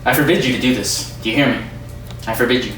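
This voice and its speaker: A man's voice: medium pitch, fast talker, reverberant, distant mic, close to an everyday voice. A man playing a commanding, wounded father and master in an amateur readers' theatre piece. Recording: noisy amateur recording, loud background hum.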